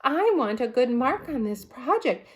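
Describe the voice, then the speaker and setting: Woman as bossy schoolgirl, storybook character, raised pitch, emphatic, insistent, swooping intonation. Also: very animated, measured pace.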